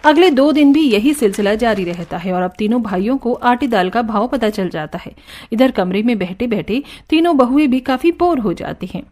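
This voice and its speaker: High-voiced woman narrating a cartoon story. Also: moderate pace. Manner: even, storytelling. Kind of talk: scripted narration.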